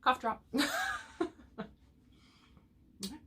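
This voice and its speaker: A woman's voice: racing, sharp tone, a little hiss or hum. A woman co-hosting a casual couple chat, talking through a lingering cough with a cough drop in her mouth, laughing often and restarting her sentences. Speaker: co-host of couple chat channel.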